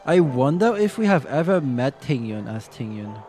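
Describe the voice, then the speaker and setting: A man's voice: medium pitch, very animated, moderate pace, warm tone, soft background music. A man streaming a video game, musing aloud and talking back to the characters in a casual, chatty way.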